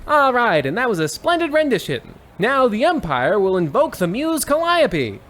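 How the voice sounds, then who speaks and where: High-pitched, excitable old-time baseball announcer. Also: male voice, normal speed, heavily acted. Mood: folksy showmanship. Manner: theatrical, exclamatory play-by-play patter. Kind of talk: 1940s radio sports commentary parody.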